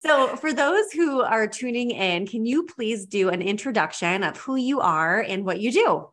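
A woman's voice: on the high side, expressive, moderate pace, fairly bright tone. A woman hosting an interview podcast over a video call, enthusiastic and affirming. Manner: enthusiastic, affirming, repeats words. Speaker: podcast host. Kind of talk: casual interview chat.

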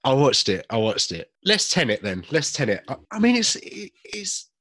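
A man's voice: medium pitch, big pitch swings, moderate pace, a bit bright. A man from the UK, co-hosting a casual podcast chat, talking in a chatty, self-deprecating way and laughing often, a little chesty after being ill. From United Kingdom. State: slight cough, a bit chesty. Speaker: podcast co-host.

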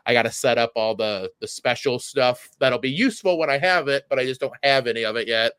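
A man's voice: medium pitch, fairly quick, a bit bright, smooth, dry recording, clean studio sound. A man chatting casually and comedically as a podcast co-host, rambling and often interrupting himself, with some swearing. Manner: rambling, self-interrupting, profane.

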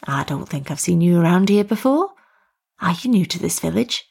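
A woman voicing a kindly, eccentric village tea lady in storybook dialogue, chirpy, motherly and gently questioning. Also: fairly low pitch, big pitch swings, normal speed, gravelly, husky, full character voice. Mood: chatty, curious.

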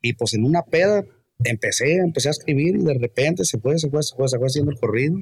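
A man from California, a guest musician, talking in a hesitant, halting and soft-spoken way during a casual interview. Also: a little high, lively intonation, husky, no room sound.

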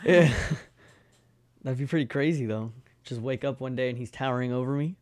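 Man, podcast co-host, casual joking chat, mid pitch, dry close sound. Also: brisk, darkish tone, no echo. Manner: laid-back, joking, trails off.

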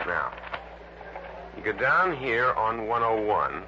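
An actor playing a businesslike American insurance man in a 1960s radio drama, explaining things deliberately and matter-of-factly. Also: fairly low pitch, big pitch swings. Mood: businesslike briefing. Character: businesslike male insurance office man briefing an investigator. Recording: noisy, dull lo-fi old radio recording.

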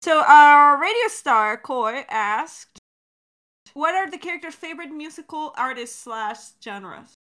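Woman, high-pitched, bright voice, playful, slangy, repeats phrases, casual podcast chat. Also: animated, measured pace. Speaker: podcast co-host, audio drama creator. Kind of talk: casual chat, answering listener questions.

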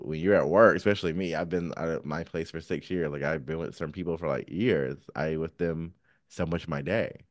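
A man's voice: low voice, dry recording, clean studio sound. A man co-hosting a podcast in casual, candid personal chat, with frank, sassy slang. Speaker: podcast co-host; counselor.

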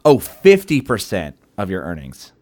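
A man co-hosting a casual podcast, asking questions and pushing back with skeptical curiosity. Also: moderate pace. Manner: questioning, skeptical, lively pitch swings. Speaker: podcast co-host.

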